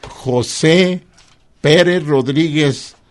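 A man's voice: a little high, drawn-out words. A man, a co-host reading out listener messages and raffle winners in a matter-of-fact way.

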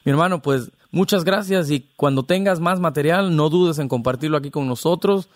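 A man's voice: on the high side, moderate pace, dry recording. A man from Veracruz hosting a podcast interview, speaking casually in a friendly, congratulatory way. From Veracruz, Mexico. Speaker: podcast host.

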